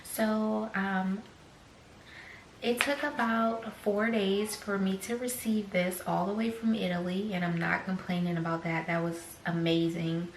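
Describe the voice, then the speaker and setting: A young woman with a slightly raspy voice talks unhurriedly through an unboxing, dropping fillers and soft whispered asides. Her voice echoes in a room at home, with a faint hiss underneath. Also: lowish voice. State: slightly raspy from choking on a drink. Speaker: fashion vlogger. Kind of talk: casual unboxing vlog.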